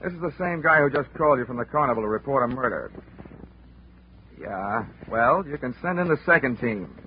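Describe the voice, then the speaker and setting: An actor playing a wisecracking, unflappable male insurance investigator in old-time radio style, with a wry, deadpan, commanding delivery. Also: medium pitch, brisk, slight background noise, natural voice. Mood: brisk, matter-of-fact. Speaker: detective lead and narrator. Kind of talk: old-time radio detective acting, hard-boiled narration. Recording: muffled vintage radio broadcast, music.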